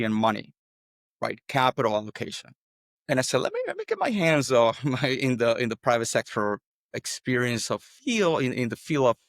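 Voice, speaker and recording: A man's voice: medium pitch, vocal fry, a bit bright, dry recording, studio quality. A man from El Salvador, a podcast guest, telling his story in an earnest, conversational way, often restarting words and tagging points with "right?".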